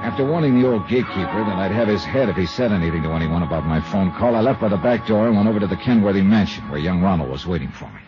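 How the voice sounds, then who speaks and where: A low-voiced, hard-boiled male investigator, clipped and sceptical. His pitch moves in lively swings, in classic 1950s radio detective style, on a dull old broadcast recording with music. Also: rapid-fire, hardly any pauses. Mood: tense narrating. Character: tough, sceptical male insurance investigator narrating his case.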